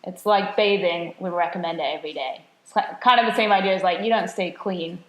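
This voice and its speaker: A woman's voice: lowish voice, fairly quick, a bit bright. A woman co-hosting a casual podcast chat as a nutrition coach, chatty and self-deprecating, often laughing at herself. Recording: roomy echo.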